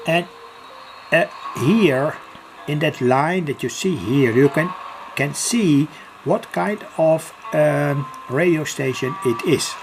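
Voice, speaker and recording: A slow-speaking hobbyist walks through an informal demonstration in a halting, piecemeal way. The voice sits at a medium pitch, with many pauses between short phrases. Radio static and noise are noticeable in the home recording. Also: animated. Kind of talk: unscripted demo vlog explanation. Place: home, indoors near a kitchen. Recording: noisy room with radio static.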